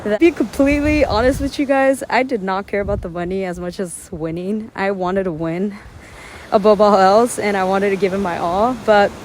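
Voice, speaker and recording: Woman vlogging at the beach, spanish is her first language, chatty and earnest, mid pitch, waves loud behind her. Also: moderate pace, a little hiss or hum. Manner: chatty, earnest, many "like" fillers. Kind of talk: casual talk to camera. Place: outdoors at a beach. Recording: outdoor recording with loud waves.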